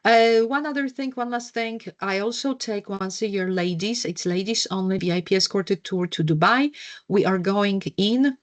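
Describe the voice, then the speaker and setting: A woman's voice: mid-range voice, normal speed. A middle-aged woman with a Polish accent, a wellness coach presenting a workshop over a video call, with many fillers and self-corrections. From Poland.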